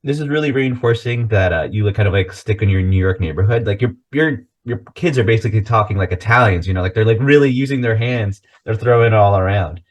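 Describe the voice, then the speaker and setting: Laid-back male podcast host, low-pitched, jokey and casual. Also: fast talker, few pauses, muffled recording. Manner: easygoing, joking, laughs along. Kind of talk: casual chat.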